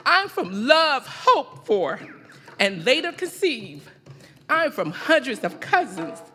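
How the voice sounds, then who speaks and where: A woman from the American South, giving a rhythmic, emphatic, poetic keynote speech with deliberate repeated phrases. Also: fairly high pitch, sharp tone. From American South (the Carolinas). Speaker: keynote speaker at a conference. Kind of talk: rhythmic spoken-word poetic public speech. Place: large conference hall. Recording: reverberant large hall through PA.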